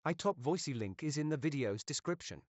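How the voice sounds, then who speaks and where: A man narrating step-by-step software instructions as a tutorial presenter. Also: fairly high pitch, lively intonation, fairly quick, dry recording, highs cut off. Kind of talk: instructional screen-recording narration.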